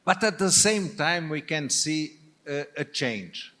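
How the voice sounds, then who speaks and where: An older man with a Portuguese accent, a native Portuguese speaker, speaking as a guest on a stage panel in a halting, hesitant way with many restarts. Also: fairly high pitch, animated, pushed and bright. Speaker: business leader guest.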